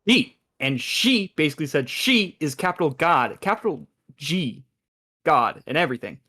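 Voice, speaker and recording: Man playing an excitable high-voiced secret agent. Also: normal speed, exaggerated voice. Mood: earnest, insistent. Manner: emphatic, convoluted explaining. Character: excitable, overthinking, conspiracy-minded secret agent. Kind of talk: live table read of a comedy radio play.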